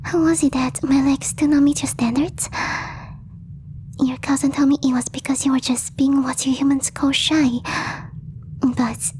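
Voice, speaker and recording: A woman voicing a possessive, lovestruck alien girl in a breathy whisper, with slow, stiffly formal phrasing for an ASMR roleplay. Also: high-pitched, little pitch movement, a little mellow, a little hiss or hum, lightly acted. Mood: questioning, suspicious. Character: possessive lovestruck alien girl, stilted speech, shyly obsessive.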